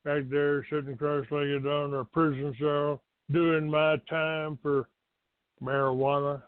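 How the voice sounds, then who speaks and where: An older American man from Texas hosting a talk show, giving a rambling, halting monologue to his listeners. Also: a little high, little pitch movement, clean tone. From Texas, United States. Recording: roomy, tinny phone-like line.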